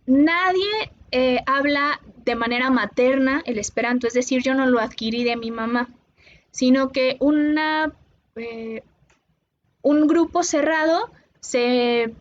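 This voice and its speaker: A Mexican woman tutoring linguistics online, explaining patiently and pausing often with "eh". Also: high-pitched, dull audio, a little hiss or hum. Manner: patient, explanatory, with many "eh" pauses.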